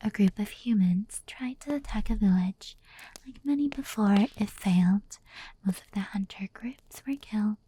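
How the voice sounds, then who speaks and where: A woman voicing an affectionate female fox spirit in a whispered anime ASMR roleplay, telling bedtime stories softly and intimately. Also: medium pitch, lightly acted, poor audio. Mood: hushed, eerie. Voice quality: soft, breathy whisper. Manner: slow, intimate, hushed storytelling.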